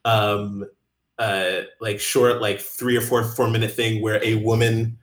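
A man hosting a casual podcast chat, wry and quick to laugh, talking in bursts. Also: fairly low pitch, flat delivery, echoey room. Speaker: podcast host.

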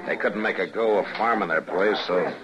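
A man acts out a calm, weary cowboy-era lawman with a deep voice. He speaks in a laconic, sympathetic way. It is a muffled old radio recording with a busy room behind him. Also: moderate pace, lightly acted. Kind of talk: old-time radio western acting. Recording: dull vintage radio recording, crowd murmur.

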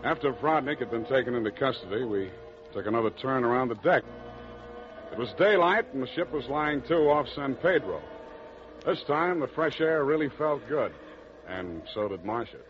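An actor playing a tough, wry private detective in clipped, deadpan old radio style. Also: male voice, mid-range voice, moderate pace, slight background noise, lightly acted. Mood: grim narration. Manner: clipped, hard-boiled, deadpan. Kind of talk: 1940s radio acting, hard-boiled narration. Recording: dull old radio recording.

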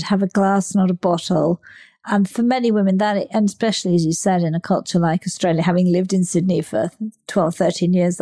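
A woman's voice: fairly low pitch, dark tone. A woman hosting a podcast, agreeable and drawing out her guest with questions. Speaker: podcast host. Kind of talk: podcast conversation.

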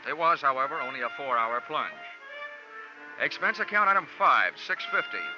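A man's voice: a little high, very animated, moderate pace. An American man playing a cynical, wisecracking insurance investigator in hardboiled 1940s radio style, with clipped, sardonic delivery. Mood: dry narration. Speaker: lead actor and narrator of a detective radio series. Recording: muffled vintage radio recording, music.

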